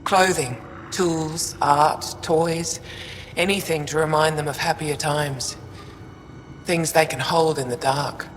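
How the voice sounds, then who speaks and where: An actor playing a wistful alien refugee trader in a video game, speaking in a measured way. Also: mid-range voice, steady tone, in a large hall, slight background noise, lightly acted. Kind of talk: video game dialogue acting.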